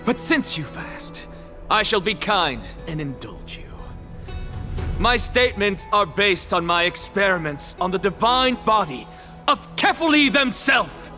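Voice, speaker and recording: A haughty scholar declaims slowly and dramatically, with big swoops of pitch. The game cutscene voice is proud and theatrical, over clear background music. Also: female voice, very animated, pushed and bright. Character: arrogant theatrical scholar confessing proud blasphemy. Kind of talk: scripted game cutscene acting.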